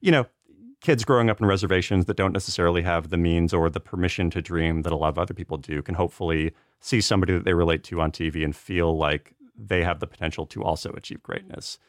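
A man's voice: sits low, fast, dry recording, studio quality. An American man in casual conversation, speaking earnestly in a rambling way peppered with "like". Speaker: podcast panelist, TV writer. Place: remote video chat recording.

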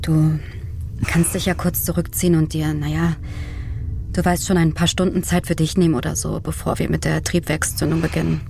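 Brisk low-voiced spaceship commander. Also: female voice, little pitch movement, gravelly, darkish tone, faint music behind. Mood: firm, pressing. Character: brisk, duty-driven female spaceship commander. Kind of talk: naturalistic audio drama acting.

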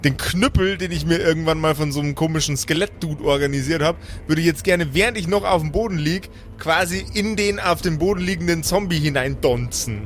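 A man's voice: on the high side, fairly quick, few pauses, faint music behind. A man chatting casually and slangily as a player in an improvised tabletop game, often joking.

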